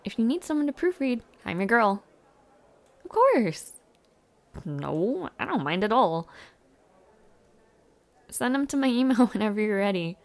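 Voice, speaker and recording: A young woman playing a friendly, chatty university student in an audio roleplay, speaking casually with hesitant afterthoughts and a creaky voice. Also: fairly high pitch, sing-song, unhurried, pauses often. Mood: friendly, helpful. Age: young adult. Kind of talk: intimate audio roleplay, casual one-sided conversation.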